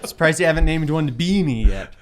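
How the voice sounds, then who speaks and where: A woman's voice: deep voice, animated, fairly quick, heavy background noise. Woman playing dnd, casual wry banter, voice moves around a lot. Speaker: tabletop game player. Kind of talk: casual game chat.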